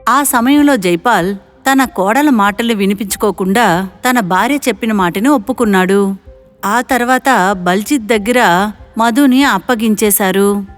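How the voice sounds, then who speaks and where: A woman delivers scripted story narration as the narrator. Her voice sits at a middle pitch, and music plays clearly behind her. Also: unhurried.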